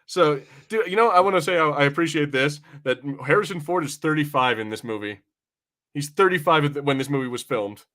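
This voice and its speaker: A man's voice: fairly high pitch, fairly quick, pushed and bright. A young adult man in casual podcast banter, brash and teasing, swearing freely as he talks. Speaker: podcast co-host. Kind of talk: casual watch-party banter.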